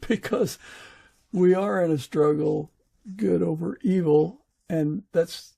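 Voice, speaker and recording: Male interviewer, slightly high, heavy fry in the voice. Casual, halting delivery. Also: dark tone. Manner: hesitant, halting, chuckles between phrases. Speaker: podcast host.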